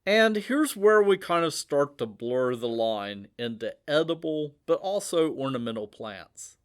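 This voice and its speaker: A man's voice: a little high, expressive, measured pace, clear, resonant tone. Easygoing man hosting a garden podcast. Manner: chatty and easygoing, laughs at his own asides. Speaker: host. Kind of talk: casual podcast chat about gardening.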